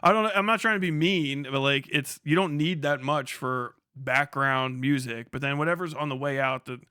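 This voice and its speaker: Bright, clear-voiced man streaming casually. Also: medium pitch, moderate pace, no echo, clean studio sound. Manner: profane, slangy, conversational. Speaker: livestream host. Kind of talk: casual livestream commentary.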